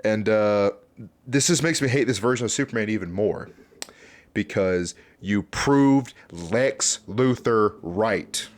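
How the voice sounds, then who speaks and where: Casual low-voiced man rambling through commentary. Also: takes it slowly. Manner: informal, rambling, chatty. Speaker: YouTube host reviewing fan videos. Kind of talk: casual off-the-cuff video commentary.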